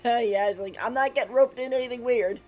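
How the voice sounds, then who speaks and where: A woman's voice: on the high side, fairly quick, darkish tone, soft background music. A woman livestreamer giving casual, playful reactions to a game, with a giggly manner.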